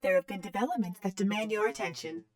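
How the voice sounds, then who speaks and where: A woman's voice: medium pitch, fast, recorded from a distance, background noise, natural voice. A woman playing a rule-bound female mainframe computer in an audio drama, clipped and procedural. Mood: formal, procedural.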